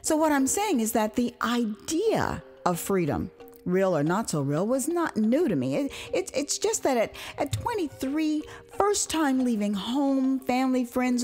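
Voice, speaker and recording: A woman from the United States narrating her own memoir as an audio drama, with expressive, theatrical delivery, acted asides and dramatic pauses. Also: on the high side, faint music behind. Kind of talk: dramatized first-person storytelling.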